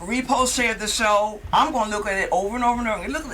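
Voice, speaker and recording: A woman hosting a talk show, chatting casually and gushing with animated praise. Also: fairly high pitch, fast, a little hiss or hum. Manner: animated, effusive, exclaiming praise. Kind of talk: casual chat.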